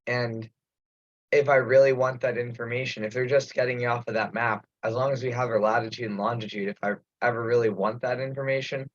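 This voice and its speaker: A man's voice: medium pitch, steady tone, brisk, dry recording. A man speaking frankly and matter-of-factly in an informal remote committee discussion, over muffled audio. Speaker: committee member. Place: remote conference call.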